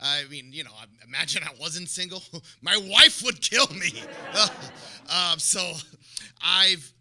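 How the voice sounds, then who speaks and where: A male stand-up comedian speaking casually on stage with a halting, self-deprecating manner. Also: high-pitched, bright, forceful tone. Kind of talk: live stand-up routine and casual interview.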